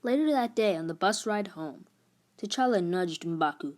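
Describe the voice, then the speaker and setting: A woman's voice: mid-range voice, lively intonation, a little slow, darkish tone. A woman hosting a read-aloud, narrating a storybook expressively.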